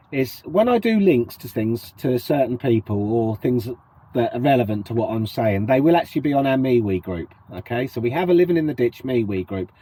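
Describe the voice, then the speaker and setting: A middle-aged man with a British accent talks to camera, sniffly from a cold. His husky, mellow voice swings widely up and down as he throws rhetorical questions at the viewer. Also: medium pitch, poor audio. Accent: British English. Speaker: vlogger. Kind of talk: casual to-camera chat.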